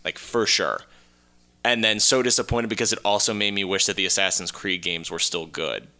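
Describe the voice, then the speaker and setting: Very deep, bright, crisp-voiced blunt man. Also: moderate pace. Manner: blunt, emphatic. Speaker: podcast co-host. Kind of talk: casual chat.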